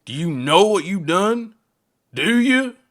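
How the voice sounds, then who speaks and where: A rugged, protective old pilot, acted out by a man reading a story. His talk is folksy and rough, pitched well up for an audiobook tale. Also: very animated, takes it slowly, fairly bright tone, exaggerated voice. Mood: furious.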